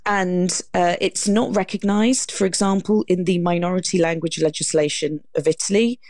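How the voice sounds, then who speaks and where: A woman's voice: on the low side, steady tone, normal speed, a little mellow, no echo, studio quality. A woman, a guest author explaining her subject articulately in an interview.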